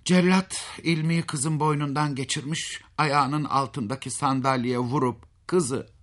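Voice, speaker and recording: A man's voice: fairly high pitch, fairly even intonation, measured pace, a bit bright. A man telling a fairy tale expressively, in a dramatic, folksy way with interjections to the listener. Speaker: storyteller.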